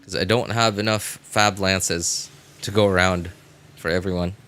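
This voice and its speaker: A man's voice: low-pitched, steady tone, measured pace, a bit bright. A man livestreaming a video game, chatting casually with viewers in a laid-back, informal way and muttering asides to himself. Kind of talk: casual gaming stream commentary, chatting with viewers.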